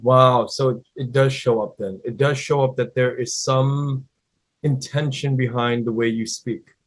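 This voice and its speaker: A man's voice: mid-range voice, fairly even intonation, unhurried. A man interviewing a guest in a casual chat, rambling and hesitant with many fillers.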